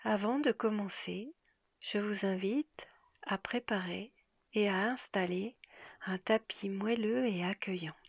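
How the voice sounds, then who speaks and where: Slow, breathy woman guiding relaxation. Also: medium pitch, no echo, studio quality. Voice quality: breathy, soft, half-whispered. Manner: gentle, measured, with long pauses. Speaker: yoga nidra guide. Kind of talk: guided relaxation meditation.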